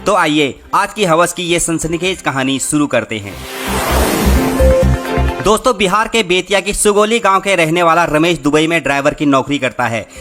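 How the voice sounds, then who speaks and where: A man's voice: fairly high pitch, animated, moderate pace. A man narrating a scripted sensational story as host, dramatically addressing his listeners. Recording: music behind the voice. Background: background music.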